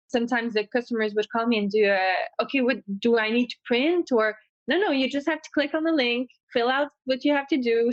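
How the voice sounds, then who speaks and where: A woman's voice: a little high, no echo. Québécois woman tour operator asking questions. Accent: Québécois French. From Quebec, Canada. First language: French. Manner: thoughtful, halting, with many fillers. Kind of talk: asking questions in a podcast chat. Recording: dry, dull-sounding mic.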